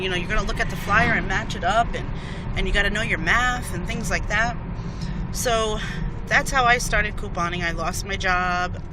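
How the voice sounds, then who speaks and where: A woman, chatting casually and informally to her viewers as a video blogger, recorded on a phone inside a car. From Connecticut, northern United States. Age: 30s. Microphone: phone at a distance. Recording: noisy car interior, distant phone mic, road rumble. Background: loud road and vehicle noise.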